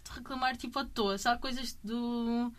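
A woman's voice: on the high side, fairly even intonation, normal speed, noisy background. Chatty woman with mixed Brazilian-European Portuguese accent. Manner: chatty, restarts sentences, tags with "entende?". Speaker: MMA fighter, guest. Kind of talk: casual interview conversation.

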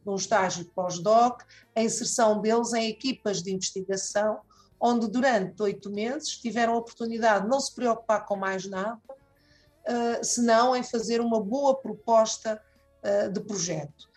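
A woman foundation director, answering interview questions deliberately, with frequent hesitations. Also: a little high, darkish tone, faint music behind.

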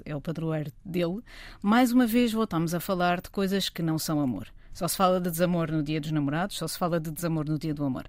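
A woman with a European Portuguese accent hosting a radio talk show, speaking in a lively, emphatic and rhetorical way into a studio microphone. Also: medium pitch, no echo. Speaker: radio show host and journalist.

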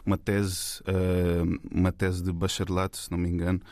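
A man from Portugal with a very low, flat voice talks through his story as a guest filmmaker. He hesitates often, restarting his sentences and filling the gaps. The sound is dry and close. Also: moderate pace, dry recording. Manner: hesitant, many fillers and restarts. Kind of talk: casual interview talk.

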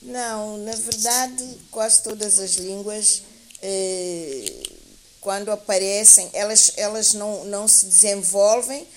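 A woman speaking as a guest teacher in a panel discussion, explaining in a deliberate, academic way and often correcting herself as she goes. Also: mid-range voice, rough recording. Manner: deliberate, academic, hesitant with repeated self-corrections. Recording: clear background hiss.

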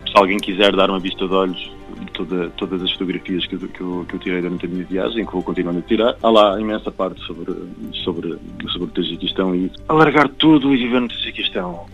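Low-voiced young Portuguese man, halting and reflective. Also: a bit bright, lo-fi sound, soft background music. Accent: European Portuguese. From Algarve, Portugal. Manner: halting, repeats words while searching for phrasing. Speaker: traveller and guide interviewed. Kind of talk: casual reflective interview talk.

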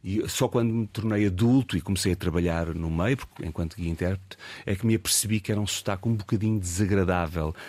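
A Portuguese man in his fifties with a European Portuguese accent, an actor talking reflectively in an interview, pausing and restarting as he thinks. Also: low-pitched, a little mellow, dry recording. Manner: reflective, hesitant with many fillers and restarts. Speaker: actor and guest. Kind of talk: casual interview conversation.

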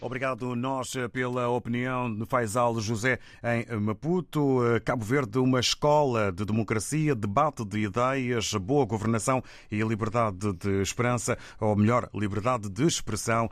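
A man hosting a radio phone-in show, speaking in a measured way with frequent hesitations. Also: mid-range voice, no room sound. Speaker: radio host. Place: radio studio.

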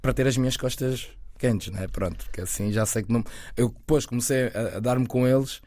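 A man in his twenties, raised near Lisbon, chatting as an interview guest, relaxed and rambling with fillers and restarts. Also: mid-range voice, brisk, no room sound. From Lisbon area, Portugal. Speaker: guest, actor and content creator. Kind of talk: casual interview talk.

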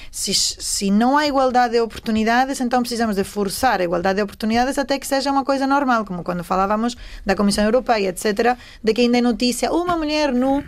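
A woman with a fairly high voice speaks as a participant in a panel debate. She explains her points earnestly and without a script, stressing them with strings of examples. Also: fast talker, hardly any pauses.

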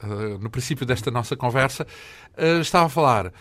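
A man's voice: mid-range voice, animated, a little fast, a bit bright, dry recording. A man, interviewing a guest with short probing follow-up questions. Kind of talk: interview conversation.

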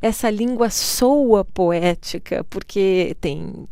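Brazilian woman with a smooth clear voice. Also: mid-range voice, takes it slowly, no echo. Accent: Brazilian Portuguese. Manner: reflective, laughs often, quotes others in lively voices. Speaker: guest. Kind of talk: conversational interview.

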